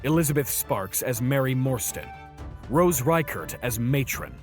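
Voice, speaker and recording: A man's voice: medium pitch, animated, a little slow, soft background music. A man reading scripted credits as the announcer of an audio drama.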